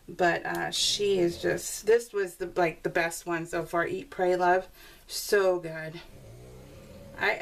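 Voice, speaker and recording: Female craft vlogger, chatty and informal, mid-pitched voice, recorded a little away from the mic. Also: vocal fry, recorded from a distance, slight background noise. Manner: chatty, animated when retelling conversations. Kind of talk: casual chat, rambling personal storytelling over a craft process video. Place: indoors at a craft table.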